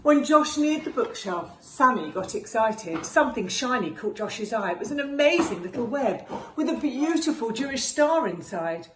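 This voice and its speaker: A woman narrates a children's picture book in an expressive way, with lively rises and falls in pitch. The room echoes, and there is faint noise behind her. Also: normal speed, few pauses. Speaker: teacher reading to children. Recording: roomy echo with faint background noise.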